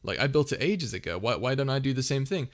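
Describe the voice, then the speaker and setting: A man hosting a casual livestream Q&A. His voice is soft and soothing, and he rambles through his answers with lots of fillers and restarts. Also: medium pitch, expressive, fast talker. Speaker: ASMR channel host answering viewer questions.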